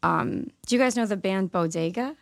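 A woman musician with a raspy voice, chatting loosely as a guest with many fillers. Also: mid-range voice, normal speed, dry recording, studio quality. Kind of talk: casual chat.

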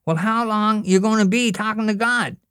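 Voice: moderate pace, a bit bright, clear, resonant tone, no echo, clean studio sound, lightly acted. A reader voicing a curious, whiny little boy, nagging his older brother with questions. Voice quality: very high, childlike.

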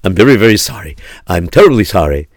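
A man's voice: low voice, very animated, fast talker, dry recording, studio quality, voice pushed down. A man playing a wealthy, stubborn miser in a told tale, repeating himself in falsely apologetic or indignant refusals. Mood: firm, apologetic. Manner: repetitive, stammering refusals.

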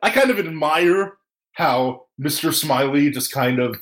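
A man's voice: on the high side, measured pace, fairly bright tone. A man hosting a fan podcast in casual chat, enthusiastic and prone to swearing. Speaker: podcast host.

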